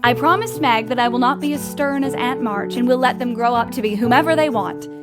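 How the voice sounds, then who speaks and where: Woman playing an earnest young writer, higher-pitched, music behind. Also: fairly quick, close to an everyday voice. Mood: warm, earnest. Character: Jo, eager young woman writer, polite and flustered. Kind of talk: audio drama acting with letter narration.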